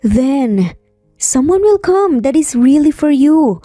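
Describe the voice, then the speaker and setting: Lady doing gentle reassuring mom in a story, soft mellow high voice. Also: big pitch swings, slow, no room sound, studio quality, faint music behind, exaggerated voice. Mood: comforting. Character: gentle, comforting middle-aged mother.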